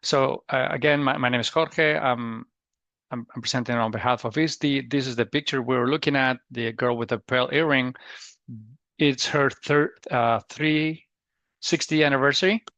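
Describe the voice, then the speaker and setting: A man from Spain with a Spanish accent, presenting on a video call webinar, halting and full of restarts. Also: mid-range voice, steady tone, a bit bright, no room sound, highs cut off. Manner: halting, many restarts and fillers. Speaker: guest presenter. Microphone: computer mic on a video call.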